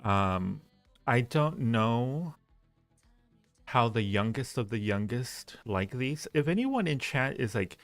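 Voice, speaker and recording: A man's voice: mid-range voice, big pitch swings, takes it slowly. A man hosting a livestream, chatting casually with viewers in a rambling way, often repeating phrases as he goes. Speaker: livestream host. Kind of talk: casual chat with live stream viewers, rating ideas. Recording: roomy echo, faint music behind. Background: faint music.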